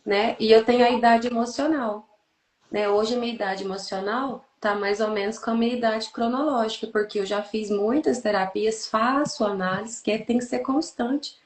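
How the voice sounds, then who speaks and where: Higher-pitched Brazilian woman in an echoey room. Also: normal speed. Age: 30s. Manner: didactic, repeats in lists for emphasis. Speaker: therapist, podcast host. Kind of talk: explanatory podcast conversation. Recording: echoey, roomy sound.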